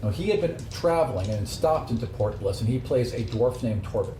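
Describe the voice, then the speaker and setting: A man's voice: mid-range voice, animated, fairly quick. A man casually explaining the scene to players as game master of a tabletop game. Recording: echoey room with clear background noise.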